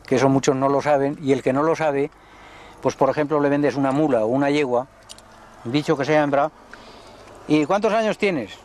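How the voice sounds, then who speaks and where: Older man, livestock dealer, folksy yarn-spinner, mid-pitched, faint noise behind. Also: a little mellow. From Spain. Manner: folksy, unhurried storytelling. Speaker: horse and mule trader. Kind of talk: documentary interview, reminiscing.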